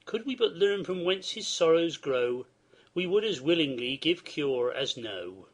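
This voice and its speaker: A man playing a worried, dignified older nobleman and father, reading Shakespearean verse in a formal, measured, declamatory way. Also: fairly high pitch, sing-song. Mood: troubled, grave.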